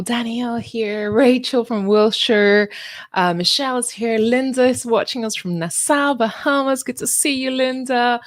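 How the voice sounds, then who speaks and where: A woman's voice: mid-range voice, no echo. An upbeat woman hosts a live online summit, rallying her viewers to share and connect. Her voice is dry and close, with no room sound around it. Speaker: summit host. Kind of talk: livestream event hosting.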